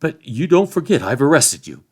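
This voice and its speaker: A man's voice: fairly high pitch, very animated, normal speed, darkish tone, slightly rough, voice pushed up. A man reading a storybook as a self-important, nervous hobbit sheriff, speaking in an officious, rustic way. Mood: stubborn.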